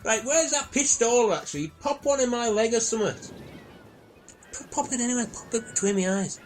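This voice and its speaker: A man's voice: extremely high pitch, a little fast, soft background music. A man playing a fed-up, miserable, foul-mouthed castaway in a comedy radio play, grumbling and exasperated.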